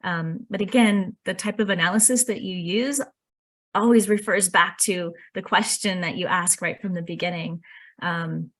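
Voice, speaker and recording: A woman presenting on a video call, talking in a measured, earnest way with frequent "um" fillers. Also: lowish voice, steady tone, a bit bright. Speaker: workshop presenter. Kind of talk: webinar presentation. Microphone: computer mic on a video call.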